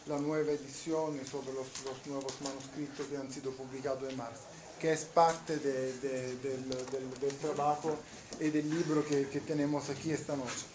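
A man from Naples with an Italian accent giving a university lecture, speaking haltingly with many restarts. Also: mid-range voice, flat delivery, roomy sound, background noise. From southern Italy, Naples. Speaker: guest lecturer.

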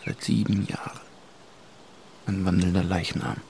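A man acting a grim, embittered policeman in a radio drama, speaking in sombre, halting phrases. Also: sits low, vocal fry, a little mellow, slight background noise. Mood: grieving, bitter. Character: grim, embittered policeman haunted by his sister's fate. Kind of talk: German radio drama acting, intimate confession.

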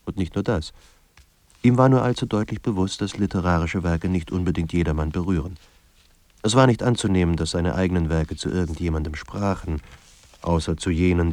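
A man narrating a literary radio drama about a despairing writer in a grave, measured, introspective manner. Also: deep voice, dark tone, no echo, close to an everyday voice. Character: somber male narrator of a despairing writer's life. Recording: music under the voice. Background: music.

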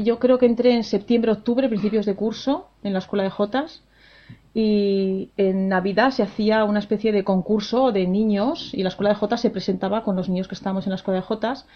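A woman in her forties, an opera singer, answering interview questions in a cheerful, chatty way and laughing often. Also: medium pitch. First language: Spanish. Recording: dull lo-fi radio sound.

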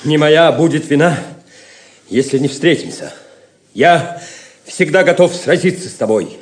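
A bold young knight with a fairly high, very varied voice. He declaims slowly and defiantly, as a gallant challenger in a theatrical audio drama. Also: expressive. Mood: calm defiance.

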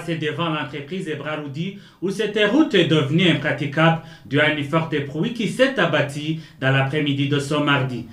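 A male reporter narrates a short radio piece in a plain, straightforward voice. His voice is slightly high, and there is a clear roomy echo around it. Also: a bit bright. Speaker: field reporter.

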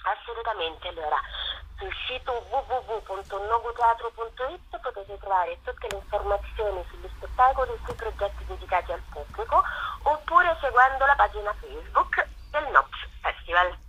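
A woman's voice: mid-range voice, lively intonation, normal speed, sharp tone. A woman speaking as a guest in a radio interview over the telephone, giving audience information. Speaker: theatre festival representative, interview guest. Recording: noisy telephone line.

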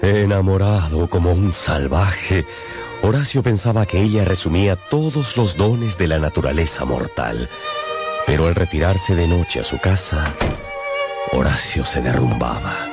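A man narrating a radio novel in a theatrical, measured and wry manner. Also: low voice, expressive. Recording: music behind.

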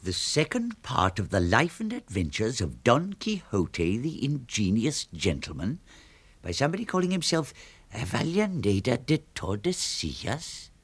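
An actor playing a proud, deluded old knight in a radio drama, pompous, formal and easily affronted. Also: male voice, mid-range voice, wildly swooping pitch, measured pace, husky, full character voice. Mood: incredulous. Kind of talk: theatrical radio drama acting.

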